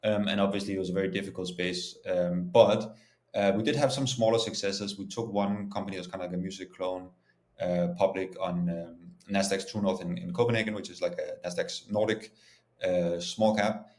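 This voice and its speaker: Man from Denmark, low pitch, conversational interview guest, informal explainer, echoey room. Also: fairly even intonation, a little fast, a little mellow. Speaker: startup founder and CEO, interview guest. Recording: echoey, roomy sound.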